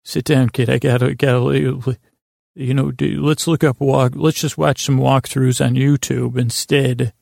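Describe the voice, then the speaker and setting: A man with a creaky voice hosting a podcast, improvising a rambling bedtime monologue, halting and stammering as he repeats words. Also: medium pitch, level tone, darkish tone, dry recording, studio quality. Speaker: podcast host.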